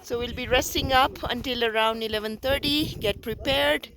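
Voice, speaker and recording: A hoarse woman narrating her mountain climb in a slow, breathless, casual way, filming outdoors on a handheld camera. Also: a little high, a bit bright, low-quality recording. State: hoarse, lost voice. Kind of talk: casual travel vlog narration.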